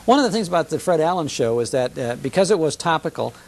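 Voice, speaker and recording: A man's voice: fairly high pitch, big pitch swings, quick pace. An American man giving conversational commentary as a guest expert in an interview. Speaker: guest expert on old-time radio.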